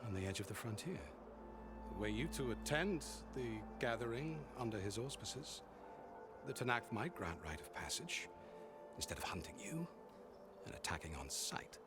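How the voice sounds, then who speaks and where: A man's voice: mid-range voice, sing-song, normal speed, natural voice. A man playing a grave, diplomatic court advisor in a video game, with stately and formal phrasing. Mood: diplomatic, cautioning. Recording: clear game music behind the voice.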